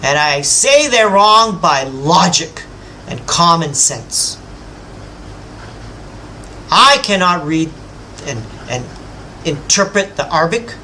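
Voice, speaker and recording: Combative man lecturing with a bright, tense voice. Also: a little high, big pitch swings, slow pace, pauses often, slight background noise, poor audio. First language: English. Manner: emphatic, lecturing, addresses opponent directly; boastful, mocks his own ego. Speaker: self-styled religious teacher rebutting a scholar. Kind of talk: combative rebuttal monologue.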